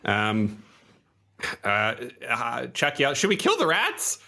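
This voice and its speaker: Bright, close-sounding male tabletop player. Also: mid-range voice, wildly swooping pitch, takes it slowly, gravelly, no room sound. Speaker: player in a tabletop roleplaying game. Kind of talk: casual in-character improvisation.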